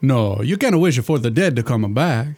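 A man's voice: mid-range voice, big pitch swings, moderate pace, darkish tone. An actor playing a jolly, fatherly Italian genie who cooks, in a put-on Italian accent with broken English. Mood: jovial. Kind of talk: comedy audio drama.

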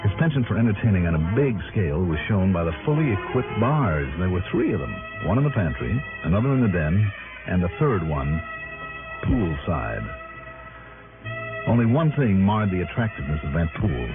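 A cool, businesslike male investigator in a 1960s radio detective drama. His voice sits fairly low and his delivery is dry and clipped. It comes through as a thin old broadcast with prominent music. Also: big pitch swings, moderate pace, narrow, tinny audio. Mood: matter-of-fact narration.

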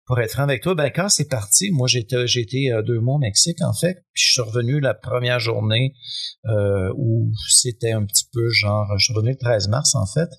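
A man with a Québécois French accent, talking as a guest in a relaxed, rambling way with many fillers. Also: medium pitch, a little fast, gravelly, breathy edge. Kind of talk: casual conversation.

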